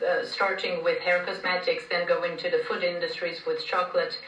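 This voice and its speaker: A woman from Brazil, a podcast guest talking in a conversational, halting way with many fillers and restarts. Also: fairly low pitch, little pitch movement, a bit bright. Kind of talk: conversational interview. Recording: echoey room, distant from mic.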